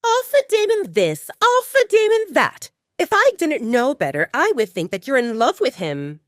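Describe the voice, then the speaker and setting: A woman's voice: sits high, huge pitch swings, normal speed, dry recording, studio quality, voice pushed up. A woman voicing a haughty, bratty young werewolf woman in an audio drama, scornful and mocking.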